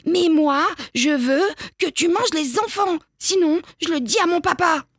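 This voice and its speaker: Woman voicing a high-pitched, bratty little goat kid. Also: expressive, unhurried, no room sound, studio quality. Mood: demanding, petulant. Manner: whiny, bossy, childish.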